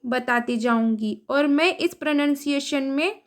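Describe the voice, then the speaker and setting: A woman with an Indian accent, reading an English story aloud slowly and translating it word by word into Hindi as a teacher. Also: sits high. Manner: reads word by word, translating each.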